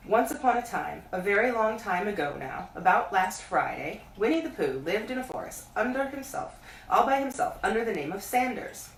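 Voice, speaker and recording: Woman storyteller, highly varied intonation, reading bedtime tales, set back from the mic with slight hiss. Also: big pitch swings, moderate pace, vocal fry. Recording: echoey room, distant mic, faint hiss.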